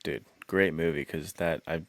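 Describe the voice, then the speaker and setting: A man's voice: extremely low pitch, steady tone, normal speed, a bit bright. A man talking casually about films as a podcast co-host, laid-back and enthusiastic, with plenty of slang. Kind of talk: casual film discussion chat.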